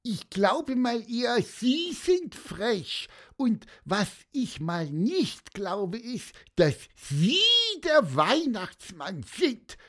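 A woman's voice: a little high, big pitch swings, drawn-out words, hardly any pauses, voice pushed up, heavily acted. A woman voicing a small strict male bird in a children's story, with a piping, scolding way of talking.

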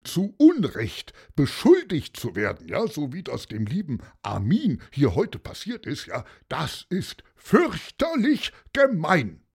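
A man's voice: a little high, huge pitch swings, slow pace, hardly any pauses, darkish tone, husky, voice pushed up, exaggerated voice. A man voicing a firm, righteous retired policeman in a children's story, talking in a stern, accusing way. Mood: earnest.

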